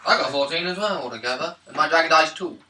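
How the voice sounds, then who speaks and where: A man's voice: fairly high pitch, expressive, fast talker, bright, forceful tone. A man chatting casually and jokingly as a player at a tabletop game. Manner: joking, blunt. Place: indoors at a gaming table. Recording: echoey room, distant mic, faint noise.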